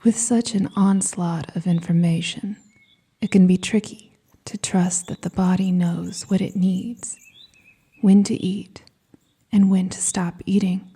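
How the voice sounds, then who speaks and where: Very dark, whispery slow woman's voice. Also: on the low side, steady tone. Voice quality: soft, breathy, whispery. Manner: soothing, gentle, deliberate pauses. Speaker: hypnosis and meditation guide. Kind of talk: scripted guided meditation.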